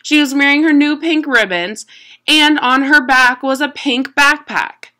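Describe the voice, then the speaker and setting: A young adult woman reading a children's picture book aloud at home in an expressive, deliberate storytelling manner. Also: sits high. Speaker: storybook reader. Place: small room at home.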